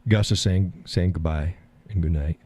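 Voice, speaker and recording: A man hosting a live call-in stream, talking in a calm, easygoing way and chuckling often. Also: sits low, normal speed, no room sound. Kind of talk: casual chat.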